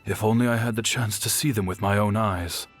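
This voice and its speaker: An actor playing a middle-aged clan head and father, weary and dutiful, in measured, reflective game dialogue. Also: lowish voice, steady tone, faint music behind, natural voice. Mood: weary, resigned.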